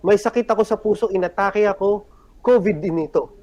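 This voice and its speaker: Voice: medium pitch, fast talker, darkish tone, lo-fi sound. A host who reacts often and asks questions in casual chat, switching between Tagalog and English.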